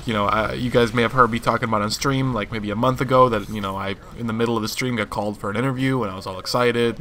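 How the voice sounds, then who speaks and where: A hoarse young man in his twenties, rambling casually through a channel update for his viewers. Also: fairly low pitch. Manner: rambling, relaxed, many fillers. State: hoarse from allergies. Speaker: gaming channel host.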